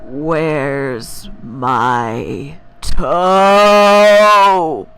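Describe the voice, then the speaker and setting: A woman voicing a menacing undead creature in a bedtime horror story, groaning slowly and drawing out each word. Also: deep voice, a bit bright, a little hiss or hum, pitched below the performer's own voice, heavily acted. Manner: slow drawn-out groaning. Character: groaning undead voice hunting its stolen toe, menacing.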